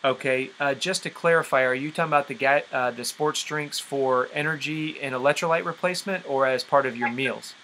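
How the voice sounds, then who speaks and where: A man's voice: mid-range voice, fairly quick, hardly any pauses, pushed and bright. A man coaching a group over a conference call, explaining step by step and often checking in with an okay. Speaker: fitness and nutrition coach. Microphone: conference call line. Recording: noisy conference call recording.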